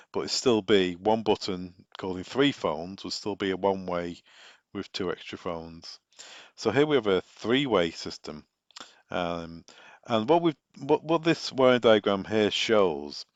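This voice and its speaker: A man working as a technical trainer, giving an instructional explanation and repeating key points with emphasis. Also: on the low side. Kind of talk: instructional explanation of wiring diagrams. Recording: dull, echoey room recording.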